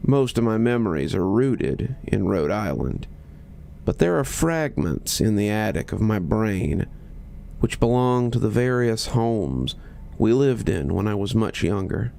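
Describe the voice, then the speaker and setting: A man reading a scripted horror story in measured, deliberate phrases with pauses. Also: fairly low pitch, expressive, gravelly, mellow.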